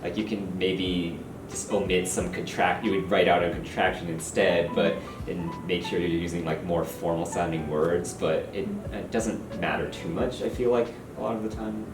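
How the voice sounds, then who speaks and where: A man with a very deep voice explains translation issues in a relaxed, explanatory way. He sits away from the mic in an echoing room, and music is clearly audible under him. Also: moderate pace, few pauses. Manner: casual, explanatory, frequent "like". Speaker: localizer. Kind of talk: interview conversation. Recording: echoey room, distant mic, music behind.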